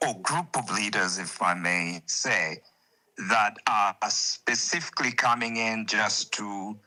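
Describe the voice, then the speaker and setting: A man from Zambia calling in to a live talk show, speaking slowly with many hesitations and restarts. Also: on the low side, sharp tone, rough recording. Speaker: caller. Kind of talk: call-in comment.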